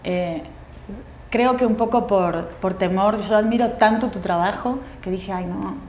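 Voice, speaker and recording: A woman in her forties with a Uruguayan Spanish accent, a guest actress speaking thoughtfully in an interview, pausing often with fillers and restarts. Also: mid-range voice, darkish tone, roomy sound, a little hiss or hum. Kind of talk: reflective interview conversation.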